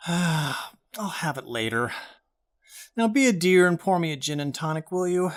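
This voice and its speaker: A man's voice: on the high side, measured pace, smooth, lightly acted. An actor playing a weary, grumpy middle-aged salesman and husband in a radio play, sighing and hesitant. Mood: weary, irritable. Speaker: actor in an audio drama.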